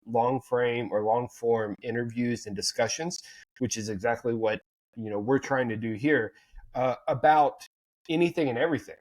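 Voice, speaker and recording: A man's voice: medium pitch, darkish tone, rough recording. A man co-hosting a casual podcast chat, rambling with lots of fillers and restarts. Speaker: podcast co-host.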